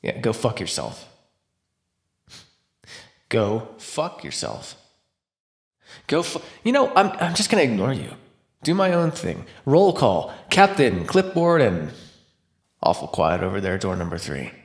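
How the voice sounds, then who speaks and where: Man acting a snarky, creaky-voiced captive vampire. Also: medium pitch, wildly swooping pitch, unhurried, long pauses. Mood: irritated, defiant. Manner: sarcastic, taunting, flippant. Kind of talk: ASMR roleplay monologue. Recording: roomy echo.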